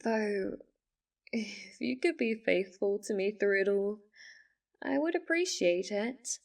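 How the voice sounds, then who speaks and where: Woman acting a slow, formal, possessive fox spirit. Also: mid-range voice, gravelly, a little mellow, recorded from a distance. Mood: tender, possessive. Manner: formal, measured, composed wording. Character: female fox spirit, polite, obsessively devoted, quietly menacing. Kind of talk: ASMR roleplay acting.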